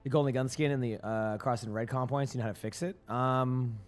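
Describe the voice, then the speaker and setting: A male streamer chatting casually with his live chat, his voice hoarse and rambling with many restarts. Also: medium pitch, steady tone, a little fast, faint music behind.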